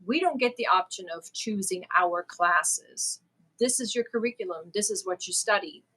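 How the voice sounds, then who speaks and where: A woman's voice: medium pitch, lively intonation, normal speed, sharp tone, slightly rough, rough recording. A woman from Germany, chatting casually and a little nervously as she hosts a live stream, prone to giggling. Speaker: crochet live stream host.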